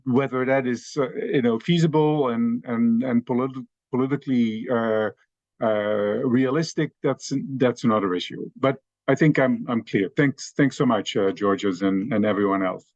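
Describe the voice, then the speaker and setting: This is a man with a middle-pitched voice speaking hesitantly, full of fillers and restarts. His audio is dry and muffled over a video call. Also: moderate pace, a little mellow, dry recording. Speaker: discussion participant. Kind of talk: online discussion remarks.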